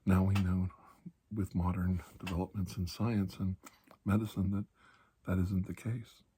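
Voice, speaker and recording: A man playing a calm, reassuring doctor in an ASMR roleplay, speaking softly and slowly with pauses, often near a whisper, in a breathy, husky voice. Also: low voice, slight background noise. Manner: slow, calming, deliberate with long pauses. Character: calm, reassuring older military doctor treating alien infection.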